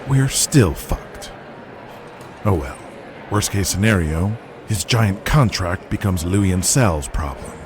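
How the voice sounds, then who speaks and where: A man's voice: sits low, lively intonation, slow. A man playing a crude, scheming wrestling promoter in an audio drama, blunt, profane and commanding. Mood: resigned. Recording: noticeable background noise.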